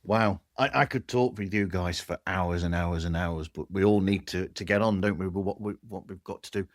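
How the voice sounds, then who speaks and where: A man's voice: low voice, steady tone, moderate pace, no echo. Man hosting a podcast, very dry sound. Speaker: podcast host. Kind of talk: casual podcast conversation.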